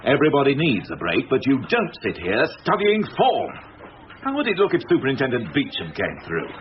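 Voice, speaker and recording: A man's voice: medium pitch, wildly swooping pitch, a little fast, muffled recording, noisy background. An actor playing an exasperated, sardonic male police inspector in a radio drama, with a pointed, sarcastic, questioning manner. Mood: stern, instructing.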